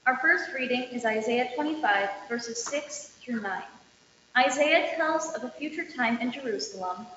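A woman reading scripture aloud as a lector, measured and declarative, with emphatic openings to phrases. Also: sits high, fairly bright tone. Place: large reverberant indoor space. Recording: echoey reverberant hall, dull, faint noise.

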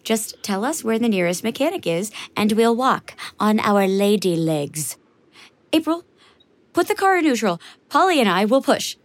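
A woman's voice: mid-range voice, big pitch swings, moderate pace, no echo, lightly acted. A woman playing an earnest, wordy young academic in a comic audio drama, with an American accent and emphatic delivery. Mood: indignant. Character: earnest, nerdy, indignant young woman linguist.

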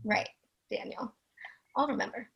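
Husky, creaky, jaded rich woman, acted. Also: fairly high pitch, a little slow, dull audio, full character voice. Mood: guarded. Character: jaded, defensive wealthy middle-aged woman client, sardonic. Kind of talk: screenplay table read, naturalistic acting.

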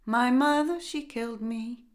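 A woman voicing an eerie singing bird, the spirit of a murdered boy, in a slow sing-song chant. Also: a little high, pitched above the performer's own voice, close to an everyday voice. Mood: haunting chant.

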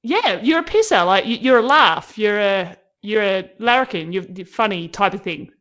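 An Australian woman hosting a podcast, talking in an animated, casual way and laughing a lot. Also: mid-range voice, moderate pace. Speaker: podcast host. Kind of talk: casual interview chat.

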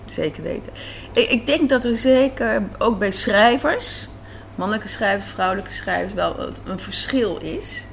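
A woman's voice: mid-range voice, animated, a little slow, creaky. A woman being interviewed as a writer, talking informally, thinking aloud and repeating phrases for emphasis. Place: café. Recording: faint background room noise. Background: faint café ambience.

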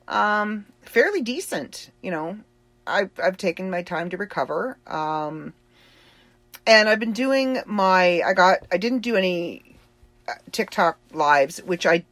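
A woman's voice: fairly low pitch, dry recording. A woman with a Boston accent giving a rambling, chatty personal update, laughing easily as she talks. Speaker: author and livestream host.

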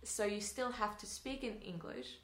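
A woman's voice: medium pitch, normal speed, roomy sound. A young Swedish woman acting student with a Swedish accent, talking casually and thoughtfully in an interview, with restarts and laughing asides. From Sweden.

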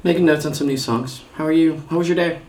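A woman's voice: low voice, fairly even intonation, fast talker, a little mellow. An actress playing a wry, self-doubting middle-aged woman songwriter in a naturalistic comedy radio play, rambling and hesitant. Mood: wry, casual.

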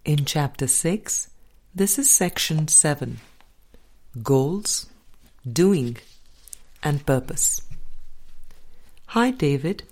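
Woman audiobook reader, very slow pace, slightly low voice, deliberate phrasing, scripted spiritual narration. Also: animated, a little mellow. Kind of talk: scripted spiritual book reading.

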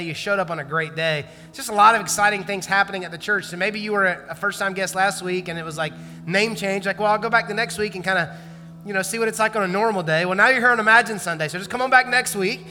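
A man's voice: high voice, sharp tone, big-room sound. A man, a pastor, making casual conversational church announcements and introducing a guest, with loose fillers and restarts. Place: large church auditorium.

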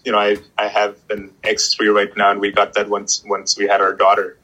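A man with a low, slightly husky voice talks in a relaxed interview. He stops and restarts often, filling gaps with "you know" and "uh". He grew up in Pakistan. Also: poor audio. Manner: halting, many restarts and fillers. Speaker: guest. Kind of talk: casual interview chat.

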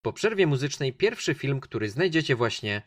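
A man's voice: medium pitch, sing-song, moderate pace, dry recording, clean studio sound. A man hosting a radio film show in a casual, rambling monologue, speaking directly to his listeners.

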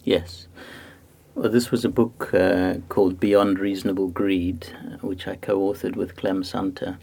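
A low-pitched man from Zimbabwe answers interview questions in a steady, level voice with hardly any rise or fall. His tone is gravelly and a little husky, and he explains his points slowly, with pauses and fillers as he goes. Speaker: guest author.

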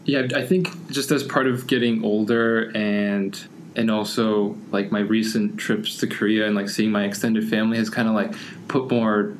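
A young man in easy conversation, circling his thoughts and peppering nearly every phrase with "like." His voice sits fairly low. A faint background hiss stays under the talk. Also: little pitch movement, moderate pace, few pauses. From United States. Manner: rambling, heavy use of "like" fillers. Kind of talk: casual conversation.

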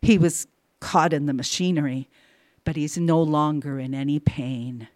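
A woman's voice: sits low, steady tone, a little slow, a little mellow, no room sound, clean studio sound, pitched below the performer's own voice. Woman acting a hesitant, subdued female officer. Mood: awkward sorrow. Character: uneasy, apologetic female officer bearing bad news. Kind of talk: storybook character acting.

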